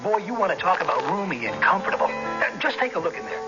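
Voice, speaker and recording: High-pitched, chirpy eccentric car owner. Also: male voice, very animated, fast talker. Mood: cheerful, proud. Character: cheerfully eccentric, proud male car owner. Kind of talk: 1970s comic radio ad acting. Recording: lo-fi radio ad, loud music.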